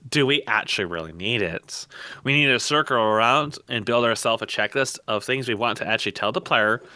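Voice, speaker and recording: Blunt man with a very bright, forceful voice. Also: medium pitch, no echo, studio quality. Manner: blunt, emphatic, rhetorical self-questioning. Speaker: podcast guest and game content developer. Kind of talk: opinionated podcast discussion. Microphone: voice call microphone.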